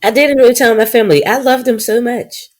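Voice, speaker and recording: A woman co-hosting a podcast, her voice husky and congested from a stuffy nose, chatting casually with sassy comebacks. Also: on the high side, quick pace, a little mellow. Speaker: podcast co-host. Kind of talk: casual chat.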